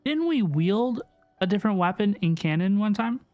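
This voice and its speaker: A woman's voice: medium pitch, animated, normal speed, phone-quality sound. A woman giving casual, chatty playthrough commentary as a livestreamer, often repeating phrases for emphasis. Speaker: video game livestreamer. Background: soft game music.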